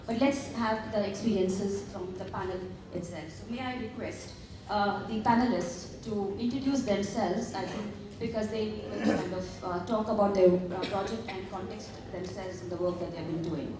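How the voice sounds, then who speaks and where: A woman moderating a conference panel, thoughtful and pausing with fillers. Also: medium pitch. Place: large conference hall. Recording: echoey hall from far off, noisy.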